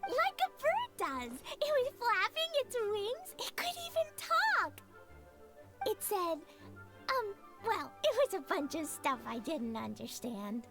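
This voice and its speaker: Tiny, very high-pitched excitable little girl. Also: slow, over music, exaggerated voice. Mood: excited, eager. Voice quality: childlike, squeaky. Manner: eager, speaks in simple childish exclamations. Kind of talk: animated video game voice acting.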